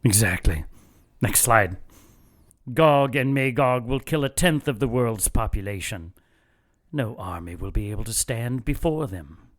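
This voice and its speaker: An actor playing a fanatical cult leader in an audio drama, lecturing in a measured, contemptuous and gloating way. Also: male voice, mid-range voice, animated, close to an everyday voice. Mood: solemn zeal. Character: fanatical cult leader brother plotting apocalypse, calm and contemptuous. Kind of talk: audio drama villain monologue.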